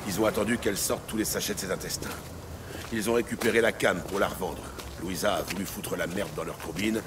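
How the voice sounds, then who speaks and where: An actor playing a hard, cynical cop who swears and talks in street slang in a French audio drama. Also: male voice, low voice, fairly even intonation, brisk, background noise, lightly acted. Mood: grim. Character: hard, defiant cop, cynical and menacing when crossed. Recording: loud music behind the voice.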